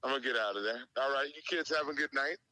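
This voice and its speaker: A man with a bright, sharp voice and very little echo calls in over speakerphone. He gives casual opinions, stumbling and repeating words, with some swearing mixed in. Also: medium pitch, quick pace. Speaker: podcast call-in guest. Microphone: phone call played on speakerphone.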